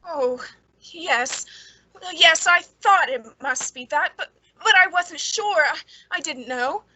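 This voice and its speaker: An actress playing an anxious, reclusive spinster with a hesitant, fretful delivery in an old-time radio suspense drama. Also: female voice, extremely high pitch, takes it slowly, bright, forceful tone, no echo, full character voice, rough recording. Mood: nervous, hesitant. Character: anxious reclusive spinster, the town's queer one.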